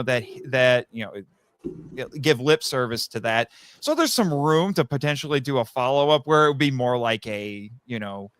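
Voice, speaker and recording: A man's voice: medium pitch, fairly bright tone, smooth, no room sound. A man talking casually as a podcast guest and audio drama writer, chatty and rambling, with frequent asides.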